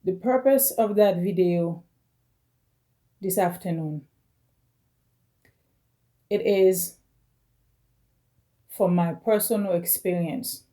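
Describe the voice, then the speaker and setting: Slow-speaking woman in her forties. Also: fairly low pitch, mellow. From Caribbean. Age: 40s. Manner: slow, halting, phrase by phrase. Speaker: vlogger. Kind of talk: personal video message.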